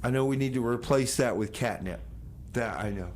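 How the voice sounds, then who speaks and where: A man's voice: mid-range voice, moderate pace, slight background noise. A man hosting a community radio music show, chatting casually in a folksy, rambling way with comic banter. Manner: folksy, rambling, repeats phrases.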